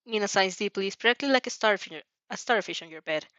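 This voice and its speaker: A woman narrates a fan fiction story in an animated, informal way, sometimes tripping over words. Her pitch is average, and the recording is dry and slightly dull. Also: brisk, no echo. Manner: giggly, stumbles over reading.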